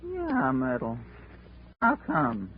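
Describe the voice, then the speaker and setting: An actress playing a brassy, tough-talking carnival auntie in old-time radio drama, sarcastic, blunt and defiant. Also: female voice, very deep voice, huge pitch swings, drawn-out words, full character voice. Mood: sardonic. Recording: muffled, noisy vintage radio broadcast.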